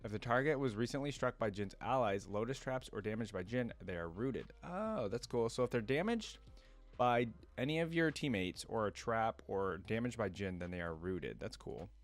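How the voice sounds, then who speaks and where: A man's voice: lowish voice, expressive, moderate pace. A man hosting a reaction video, giving casual commentary and sometimes reading descriptions aloud.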